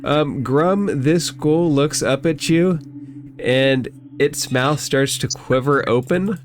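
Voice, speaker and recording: A man's voice: a little high, slow. A man acting as game master, narrating a tabletop fantasy game in a casual, descriptive way.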